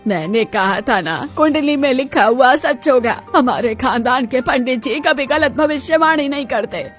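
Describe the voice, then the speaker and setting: A woman playing an imperious old grandmother of a rich family in a dramatized moral story, speaking solemnly and deliberately. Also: high-pitched, animated, soft background music. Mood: solemn, certain.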